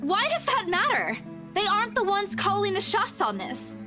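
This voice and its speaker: An earnest young woman from a visual novel, with a very high voice that swings widely in pitch. She is fiery and emphatic, and music plays clearly behind her. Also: big pitch swings, moderate pace. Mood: urgent, indignant. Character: earnest, fiery young woman urging a friend not to quit. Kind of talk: visual novel game voice acting.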